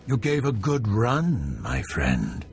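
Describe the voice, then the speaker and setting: A man's voice: on the low side, huge pitch swings, takes it slowly, exaggerated voice. A man voicing a proud, bitter older traitor in a video game, sardonic and theatrical. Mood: bitter, taunting. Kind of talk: dramatic video game villain dialogue. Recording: faint game music behind the voice.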